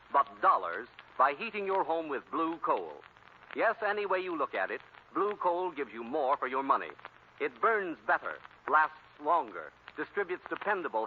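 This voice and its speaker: A man announcing and reading an advertisement in an emphatic, salesmanlike 1940s radio style. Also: on the high side. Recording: tinny, noisy 1940s radio recording.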